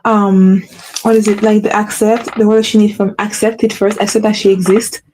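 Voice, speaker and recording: A woman's voice: medium pitch, flat delivery, moderate pace, a little mellow, slight background noise, rough recording. A woman hosting a solo podcast as a spiritual coach, speaking earnestly, repeating herself and checking in with the listener, with a slight stutter. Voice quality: mentions having a stutter. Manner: earnest, repetitive, with frequent "right?" check-ins and self-corrections. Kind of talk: solo motivational talk.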